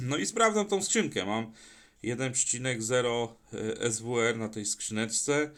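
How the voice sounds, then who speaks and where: A man's voice: medium pitch, animated, measured pace, a bit bright. A man talking casually as a CB radio operator, with a colloquial, plain-spoken manner. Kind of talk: casual chat in turns on CB radio.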